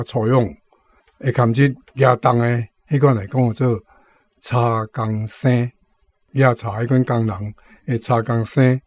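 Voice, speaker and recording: Male radio host, Taiwanese accent, dark relaxed tone, unhurried explanations, dry close sound. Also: medium pitch, no echo. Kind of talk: explanatory commentary on Taiwanese vocabulary.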